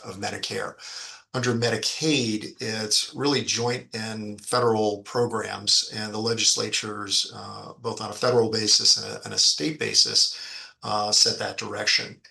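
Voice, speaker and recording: A man giving an explanatory business presentation as a fund executive, speaking haltingly with frequent ums and repeated words. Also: fairly low pitch, gravelly, bright, forceful tone. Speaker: fund executive presenting on an investor earnings call.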